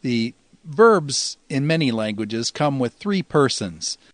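A man's voice: medium pitch, sing-song, slow, dry recording. A man giving an instructional grammar lecture as a teacher, explaining step by step in a didactic, lightly folksy way. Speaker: teacher of New Testament Greek.

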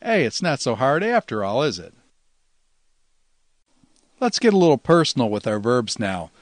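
A man's voice: mid-range voice, sing-song, moderate pace, no room sound. A man giving an instructional grammar lecture as a teacher, explaining step by step in a didactic, lightly folksy way. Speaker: teacher of New Testament Greek.

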